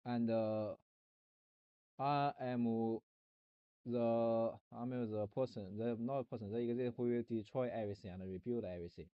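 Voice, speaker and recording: A man streaming a rambling philosophical monologue, halting and searching for words, often restarting and repeating himself. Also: medium pitch, steady tone, clear, resonant tone, dry recording, muffled recording.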